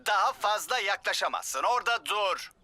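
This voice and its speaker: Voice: medium pitch, expressive, normal speed, background noise, natural voice. An actor voicing a stern, commanding figure who gives terse warnings in a children's cartoon dub. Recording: music behind.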